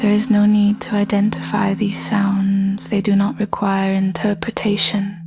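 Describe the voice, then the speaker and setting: A woman with a soft, breathy, hushed voice leading a scripted guided body scan meditation in short phrases with long pauses. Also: mid-range voice, completely flat delivery, music in the background.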